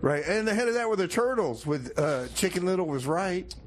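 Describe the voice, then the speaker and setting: A man's voice: high voice, big pitch swings, a little fast, noisy background. A man co-hosting a music radio show with casual comedic banter, repeating short phrases loosely. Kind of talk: casual comedic banter and song back-announcing.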